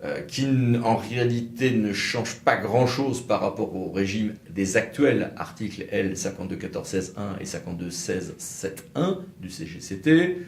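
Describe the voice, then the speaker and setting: Low creaky-voiced man explaining legal reform. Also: animated, moderate pace, hardly any pauses. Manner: wry, sceptical, pointed asides. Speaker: legal expert presenter. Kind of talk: explanatory legal commentary on a bill. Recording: roomy echo, faint background noise.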